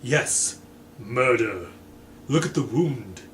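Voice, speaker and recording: A man voicing a self-important, blustering military gentleman who speaks with pompous emphasis in a storybook reading. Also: mid-range voice, animated, takes it slowly. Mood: accusing. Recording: echoey room, faint background noise.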